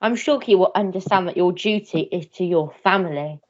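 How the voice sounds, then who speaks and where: A woman's voice: lowish voice, brisk, lightly acted. A woman voicing a doting, naive upper-class English father in an amateur melodrama radio play. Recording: slightly dull lo-fi recording.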